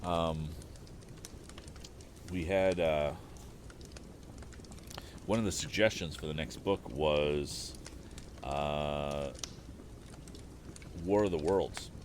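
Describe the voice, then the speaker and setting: A man's voice: very deep voice, extremely slow, long pauses, slight background noise. A man hosting a livestream, chatting casually and thinking aloud between stretches of book reading. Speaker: storytime livestream host and reader. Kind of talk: casual stream chat and book narration.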